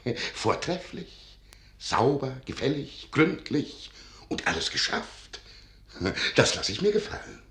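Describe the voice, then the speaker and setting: An actor playing an eccentric, imposing older archivist and secret magician in a radio play, theatrical, emphatic and solemn. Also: male voice, mid-range voice, very animated, takes it slowly, a bit bright, echoey room. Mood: brisk, pleased.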